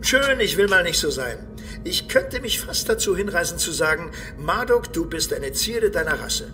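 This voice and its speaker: Sardonic adventurer: haughty, dry, reverberant sound, heavy music underneath, sci-fi audio drama. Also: male voice, high voice, very animated, moderate pace. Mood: mock gracious. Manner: dry, sarcastic. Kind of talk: German audio drama acting. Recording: reverberant, loud music behind.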